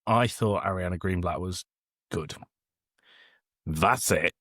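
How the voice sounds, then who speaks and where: A man's voice: low-pitched, slow, fairly bright tone, no echo, clean studio sound. A man co-hosting a casual film review chat, exasperated, blunt and sweary. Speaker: podcast co-host.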